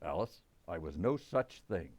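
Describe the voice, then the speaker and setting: A man's voice: sits low, sing-song, unhurried, a little mellow, no room sound, heavily acted. A man acting a blustery, stubborn middle-aged father, formal and indignant, in a radio comedy.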